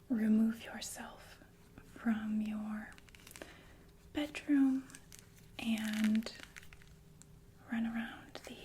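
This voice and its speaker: A woman playing a sinister, falsely soothing killer nurse in an ASMR roleplay, speaking in a genteel, deliberate breathy whisper with murmured asides. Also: on the high side, a little mellow. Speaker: ASMR performer.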